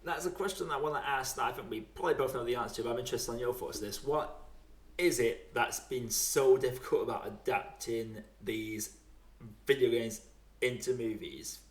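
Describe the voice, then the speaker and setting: A man's voice: medium pitch, fairly quick, distant mic. A man hosting a podcast in casual chat, talking in a wry, rambling, conversational way. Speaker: podcast host. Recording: roomy, echoey home recording.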